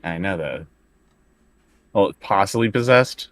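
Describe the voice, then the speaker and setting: Low-voiced man playing a tabletop game. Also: lively intonation, slow, no room sound. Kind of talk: casual game chat.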